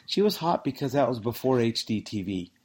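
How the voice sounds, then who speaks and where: Man, podcast co-host, casual sports chat, rambling, teasing, mid-pitched voice. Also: expressive, a little fast, a little mellow, slightly rough. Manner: rambling, jokey, gets worked up over stats.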